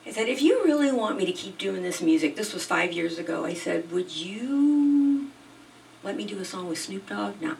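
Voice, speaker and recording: A woman singer-songwriter chatting casually as an interview guest, telling long rambling stories with a soft, sweet voice. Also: lowish voice, gravelly. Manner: chatty, rambling storyteller with frequent ums. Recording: distant mic with faint background noise.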